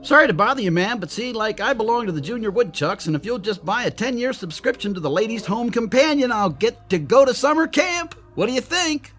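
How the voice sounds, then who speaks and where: A man voicing an eager, wheedling young boy making a hesitant sales pitch, in a radio drama. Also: expressive, brisk, hardly any pauses, a bit bright, faint music behind. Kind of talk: jokey put-on child voice in radio drama.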